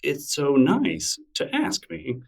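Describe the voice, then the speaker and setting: A man's voice: on the high side, very animated, slow pace, vocal fry, recorded from a distance. A man being interviewed in casual conversation, thoughtful and rambling, full of fillers and restarts. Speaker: interview guest, newsletter creator.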